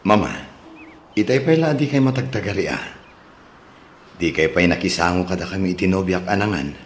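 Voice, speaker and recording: A man's voice: sits low, lively intonation, a little fast. A man with an Ilocano accent playing a placating, protective adult son in a radio drama, with room echo. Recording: echoey room, faint noise.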